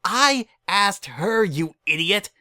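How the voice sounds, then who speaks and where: An exasperated young noble unicorn mare, acted by a man, defiant and outspoken. Her storybook voice is high, bright and indignant, recorded dry. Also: expressive, takes it slowly, no room sound, full character voice. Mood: outraged.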